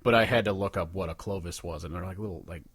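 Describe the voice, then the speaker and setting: A man's voice: deep voice, fast talker, fairly bright tone, breathy edge, no echo. A man co-hosting a casual talk show, rambling through his commentary in a loose, sweary, conversational way. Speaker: podcast co-host. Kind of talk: casual chat, ranking songs with commentary.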